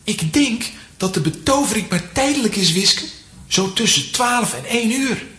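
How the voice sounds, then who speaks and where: A woman's voice: on the high side, animated, moderate pace, a bit bright. An actress voicing a brave, hot-headed young boy in a children's audio drama. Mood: thoughtful.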